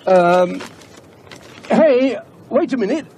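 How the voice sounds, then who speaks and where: An actor playing a nervous, bookish middle-aged professor in an audio horror drama, hesitant, stammering and polite. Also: male voice, extremely high pitch, lively intonation, takes it slowly, darkish tone, slight background noise, full character voice. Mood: uneasy.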